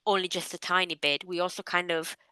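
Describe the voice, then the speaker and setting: A young woman from Romania, a native Romanian speaker, giving thoughtful, measured interview answers with frequent pauses and restarts. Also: fairly low pitch, level tone, sharp tone, smooth, no echo. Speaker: guest.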